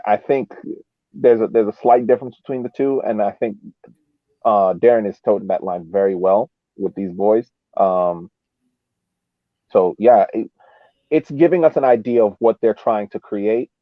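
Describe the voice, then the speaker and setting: Low-voiced man on a phone-like line. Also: normal speed, no echo. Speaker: soccer podcast co-host. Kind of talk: casual match analysis.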